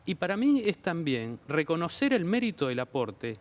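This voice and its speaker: Measured Argentine government official. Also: male voice, on the high side, sing-song. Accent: Argentine Spanish. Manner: measured, explanatory, policy talk with figures. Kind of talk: TV interview answers. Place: TV studio.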